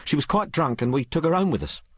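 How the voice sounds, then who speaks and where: A man voicing a rough, frank working-class young woman in an audiobook, blurting out her tale in colloquial, ungrammatical speech. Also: fairly high pitch, big pitch swings, quick pace, fairly bright tone, dry recording, studio quality, pitched above the performer's own voice, heavily acted. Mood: frank, gossipy. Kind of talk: audiobook character dialogue.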